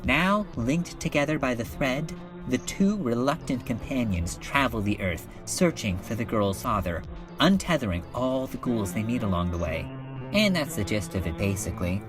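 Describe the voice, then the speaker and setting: A man's voice: mid-range voice, very animated, normal speed, natural voice, rough recording. A man voicing a slangy, enthusiastic geek who rambles about fanfiction in a webcomic fan dub. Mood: casual storytelling. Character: casual slangy geek excitedly telling and discussing fanfiction. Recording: music under the voice.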